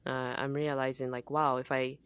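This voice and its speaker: A young adult woman from Zambia co-hosting a podcast, talking in a relaxed, reflective way with frequent fillers and laughs. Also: rumbling low, moderate pace, clean tone, dry recording. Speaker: podcast co-host. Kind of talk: casual conversational podcast.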